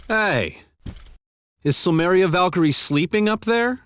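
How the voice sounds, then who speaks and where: Skeptical young game hero, lightish pitch, swooping intonation, casual, sardonic. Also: male voice, expressive, a little slow, fairly bright tone, no room sound. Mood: wry. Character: wry, skeptical young male adventurer companion. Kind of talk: English video game dub acting.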